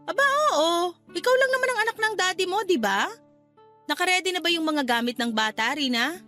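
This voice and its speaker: High, sharp, brusque aunt. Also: female voice, sing-song, a little fast, faint music behind, full character voice. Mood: bossy, curt. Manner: curt, bossy. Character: brusque, impatient aunt come to collect a child. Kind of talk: Tagalog radio drama acting.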